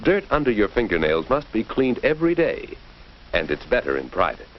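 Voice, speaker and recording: A man's voice: on the low side, huge pitch swings, a little fast. A man giving scripted, instructive narration in a 1940s educational film style, with a questioning, presentational manner. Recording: dull, noisy 1940s film soundtrack.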